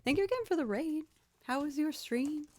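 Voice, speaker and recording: A young woman with a lower voice, chatting casually on a live music stream, self-deprecating and quick to laugh. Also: expressive, a little mellow, no echo.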